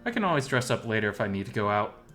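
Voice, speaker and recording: A man's voice: lowish voice, very animated, very fast, fairly bright tone, faint music behind. A man reading scripted first-person story narration as the narrator.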